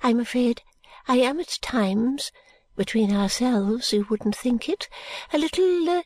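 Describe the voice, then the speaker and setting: A woman's voice: on the high side, a little mellow, dry recording. Woman doing Miss Flite, a whispery eccentric old lady. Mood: confiding. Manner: breathy whispering, slow and confiding. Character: eccentric fluttery elderly lady, confiding and mysterious.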